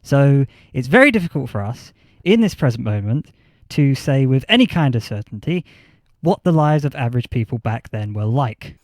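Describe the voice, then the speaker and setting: A man playing an eager, flustered history professor in a fiction podcast interview, stammering and repeating words excitedly. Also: medium pitch, moderate pace, no echo. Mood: earnest, chuckling. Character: eager, flustered male history professor of a far-future age. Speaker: interviewed academic expert.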